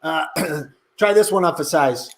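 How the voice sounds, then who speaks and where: A man putting on a professional dialect coach from New York, hesitant and apologetic. He speaks in halting phrases and repeats himself, in a loose improvised comedy style. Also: a little high, noisy background.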